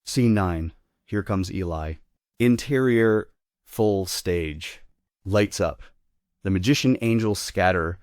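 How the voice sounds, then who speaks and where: A man's voice: low voice, slow pace, darkish tone, no echo, studio quality. A man reading out scripted stage directions as the narrator of a play table read.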